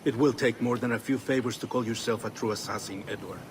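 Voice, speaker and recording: An actor playing a grave, measured village leader and assassin mentor, speaking formally in a video game cutscene. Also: male voice, medium pitch, fairly even intonation, a little hiss or hum, lightly acted.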